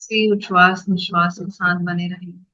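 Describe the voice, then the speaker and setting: Meditation leader: level, little pitch movement, short phrases with long pauses, dry but dull lo-fi capture. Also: little pitch movement, sharp tone, dry recording. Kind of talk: guided meditation instruction.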